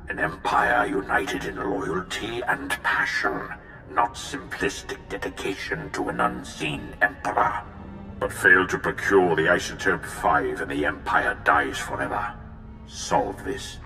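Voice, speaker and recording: An actor playing a stern, commanding Sith overlord in a video game, speaking gravely and with authority. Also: male voice, extremely low pitch, bright, forceful tone, roomy sound, full character voice, low-quality recording. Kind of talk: scripted video game acting.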